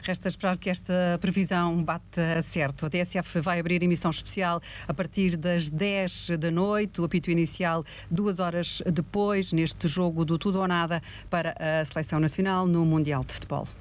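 A man with a European Portuguese accent reading a radio news bulletin in a steady, formal manner. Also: a little high, normal speed. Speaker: news presenter.

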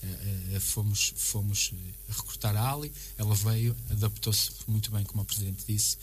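Low, even-toned Portuguese man, halting delivery. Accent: European Portuguese. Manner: halting, repeats words and phrases. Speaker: basketball coach, interview guest. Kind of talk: casual spoken interview. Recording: clear background noise and hum.

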